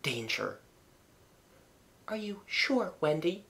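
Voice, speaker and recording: A storybook reader voicing a gentle, anxious mother in a hushed, entreating way. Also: high voice, wildly swooping pitch, takes it slowly, voice pushed up, exaggerated voice.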